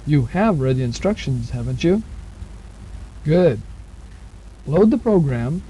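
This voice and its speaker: A man with a very mellow, dark voice pitched a little high narrates instructions step by step. He is plain-spoken and patient as he explains. The sound is that of a muffled 1970s cassette tape with faint hiss. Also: sing-song, slow pace. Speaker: instructor narrating a program manual.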